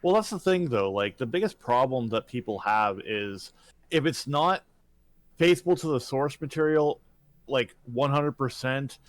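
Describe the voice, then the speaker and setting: A man's voice: mid-range voice, lively intonation, measured pace, no room sound. A man co-hosting a podcast in casual, unscripted conversation, blunt and informal in the way he talks. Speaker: podcast co-host.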